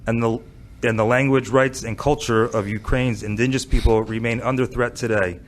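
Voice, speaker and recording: A man speaks as a township administrator, reading formally in a public meeting room. His voice sits at a middling pitch, with faint noise behind it. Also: fairly even intonation, fairly quick. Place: indoor township council meeting.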